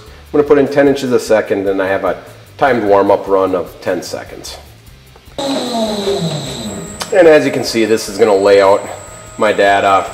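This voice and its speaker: A man's voice: fairly low pitch, darkish tone. A middle-aged man giving a product presentation and demonstration as a company presenter. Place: indoor workshop showroom. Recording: roomy echo, faint music behind.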